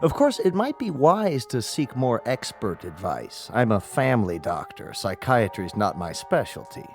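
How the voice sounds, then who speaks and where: An actor in a radio drama playing a gravely polite family doctor who speaks in a deliberate, professorial way. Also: male voice, mid-range voice, sing-song, soft background music. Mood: grave, explanatory.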